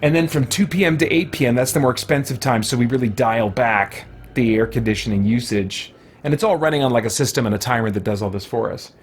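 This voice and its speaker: American man, casual let's play chatter, laid-back, rambling, middle pitch, some background noise. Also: fast. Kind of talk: casual gameplay commentary, chatty. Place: home office. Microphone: microphone with pop guard.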